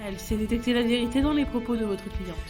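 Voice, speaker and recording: An actress playing a brisk woman police interrogator in a French audio drama, pointed and matter-of-fact. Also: a little high, darkish tone, soft background music, lightly acted.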